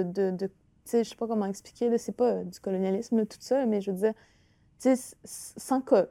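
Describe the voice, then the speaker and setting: A woman with a Quebec French accent and Innu as her first language, a guest author speaking thoughtfully with many pauses and restarts in an interview. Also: medium pitch, mellow, no room sound. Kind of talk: reflective conversational interview.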